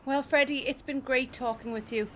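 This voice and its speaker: Radio reporter, composed n polite, slightly high voice, faint noise behind. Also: female voice, steady tone, fairly bright tone. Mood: curious, sympathetic. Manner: measured, polite. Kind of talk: radio play acting, interview and news report.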